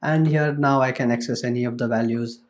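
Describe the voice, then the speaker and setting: Casual coding tutor, a man of middle pitch who rambles through examples with fillers. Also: steady tone, fairly quick, a little hiss or hum. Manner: loose, repetitive explaining with many fillers and restarts. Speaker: programming tutor. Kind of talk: screen-recorded coding tutorial.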